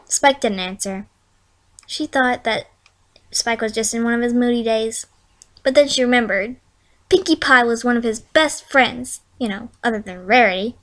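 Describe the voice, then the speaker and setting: Woman, high-pitched, amateur story reader, informal, conversational, stumbling delivery. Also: moderate pace, a bit bright. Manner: conversational, stumbling over words. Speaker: amateur fan fiction reader.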